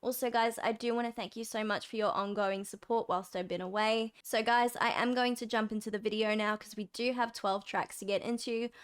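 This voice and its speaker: Bubbly woman with a croaky voice. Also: a little high, steady tone, a little fast, few pauses. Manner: bubbly, enthusiastic. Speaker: music reaction channel host. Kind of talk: casual chat to camera.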